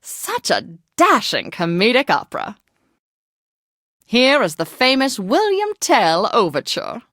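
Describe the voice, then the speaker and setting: A flamboyant, upper-crust lady hosting classical radio in a video game. Her high voice swoops theatrically through every phrase, genteel and a little tipsy. The recording is very dry and close. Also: wildly swooping pitch, slow, fairly bright tone, no room sound, full character voice. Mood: gushing, genteel. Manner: theatrical, affected, genteel and effusive.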